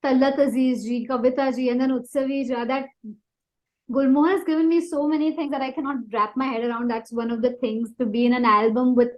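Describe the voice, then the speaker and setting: An Indian woman speaks in a high voice as a singer being interviewed. She chats informally and tells little anecdotes, gushing with appreciation for the people she describes. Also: little pitch movement, fast talker, low-quality recording. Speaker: singer-songwriter guest. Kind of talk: casual chat, telling anecdotes.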